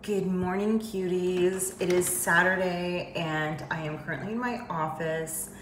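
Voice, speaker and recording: A woman's voice: lowish voice, fairly even intonation, a little slow, a little hiss or hum. A woman vlogging casually in a chatty, rambling way with lots of fillers, sounding congested from a head cold, speaking from an emptied home office. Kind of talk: casual chat to camera. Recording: echoey empty room.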